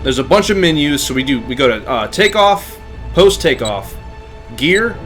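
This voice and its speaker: A man's voice: on the high side, big pitch swings, moderate pace, fairly bright tone, faint music behind. A man giving casual, explanatory commentary on a game livestream.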